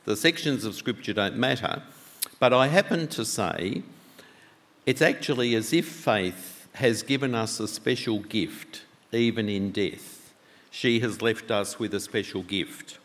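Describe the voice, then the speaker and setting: A man preaching a sermon with a loud voice, talking conversationally and asking the congregation many questions. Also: on the low side, measured pace. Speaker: pastor. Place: church. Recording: long church reverb.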